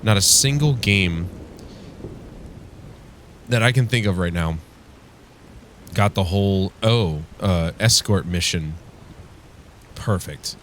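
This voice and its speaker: Male game commentator, low register, stuffed-up strained voice, rambling wry delivery over background ambience. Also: slow pace, long pauses, fairly bright tone. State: congested from flu, strained voice from yelling. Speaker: gaming show host. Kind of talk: casual let's play commentary. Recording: clear background noise.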